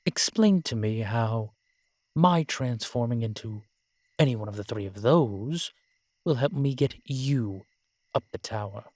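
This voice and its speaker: A man playing a sardonic, weary vampire in an audio roleplay, speaking in a measured, formal, wry way. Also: medium pitch, animated, a little mellow, no echo. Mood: exasperated, dry. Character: sardonic, weary male vampire, protective of a little companion. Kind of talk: scripted audio roleplay drama.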